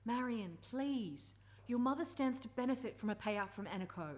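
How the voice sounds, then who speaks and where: A woman's voice: fairly high pitch, expressive, normal speed, a little mellow, close to an everyday voice. A woman acting an earnest, persuasive campaigner and former country teacher in a live radio play. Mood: defensive, pleading.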